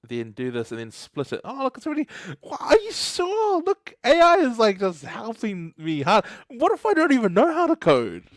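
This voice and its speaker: Young kiwi dude live coding, chats with viewers a lot, dry close sound. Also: male voice, extremely high pitch, wildly swooping pitch, moderate pace, hardly any pauses, no room sound. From New Zealand. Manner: chatty, laughs often, reads chat aloud. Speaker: livestream host. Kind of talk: casual live coding stream.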